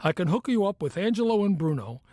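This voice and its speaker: A man playing a sly, chummy retired mobster in a comedic audio drama, talking like an older wise guy with a deal to pitch. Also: sing-song, fast talker, warm tone, no room sound, clean studio sound. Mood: breezy sales pitch. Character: wheedling old retired mobster, male, pitching shady deals.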